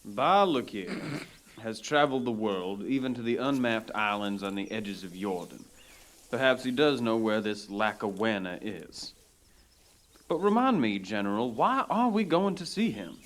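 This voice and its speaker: An actor playing a prim, formal elf guide who speaks in lofty diction. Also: male voice, lowish voice, sing-song, a little slow. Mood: haughty. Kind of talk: audio drama acting.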